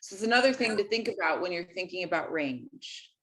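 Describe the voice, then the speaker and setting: A woman's voice: fairly low pitch, expressive, a little fast. A woman teaching an online singing workshop, chatting and explaining between video clips. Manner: chatty, explanatory, apologetic asides.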